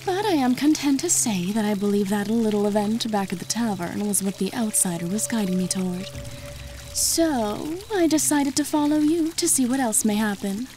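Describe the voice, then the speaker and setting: A woman's voice: mid-range voice, normal speed, warm tone, faint music behind, close to an everyday voice. A woman voicing a sly, elegant female serpent-folk fortune-teller in an audiobook, speaking formally and with amusement. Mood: sly, amused. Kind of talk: audiobook character voice.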